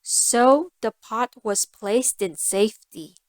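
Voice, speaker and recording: A woman storyteller giving a scripted fairy tale narration for learners, deliberate and carefully phrased. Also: on the high side, no echo.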